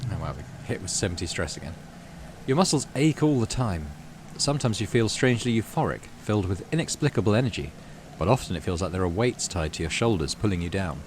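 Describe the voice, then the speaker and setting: A man with a deep voice reads a game's story aloud in a measured, dramatic way. His pitch moves around a lot, and he slips into casual commentary as a host. There is clear noise behind him. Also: sing-song. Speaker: gaming channel host. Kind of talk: dramatic read-aloud narration of a game story with casual asides. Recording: clearly audible background noise.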